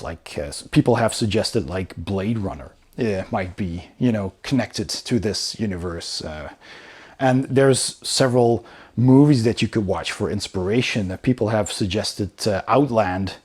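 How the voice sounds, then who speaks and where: Smooth-voiced enthusiastic man reviewing. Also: mid-range voice, darkish tone. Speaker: board game reviewer. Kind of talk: casual review commentary, walking through a book.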